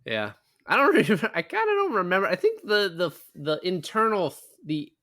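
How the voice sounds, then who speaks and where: A man's voice: high-pitched, wildly swooping pitch, brisk, bright, forceful tone, no room sound. A man talking casually about films as a podcast co-host, explaining things in a conversational way. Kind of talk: casual film discussion.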